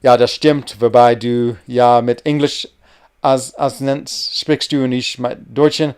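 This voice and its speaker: Young American male streamer: resonant, mid-pitched, casual and rambling. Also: flat delivery, unhurried, darkish tone, clear, resonant tone. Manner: rambling, chatty, hesitant with fillers. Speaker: gaming livestream host. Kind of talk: casual live-stream chat while playing.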